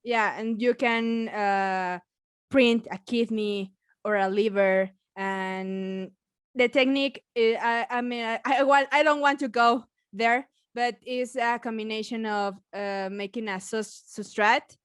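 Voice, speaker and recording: A woman with a Mexican accent, a biologist chatting casually as a podcast guest, halting with many restarts and frequent laughs. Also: fairly high pitch, steady tone, smooth, no room sound, studio quality. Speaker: biologist guest and science communicator.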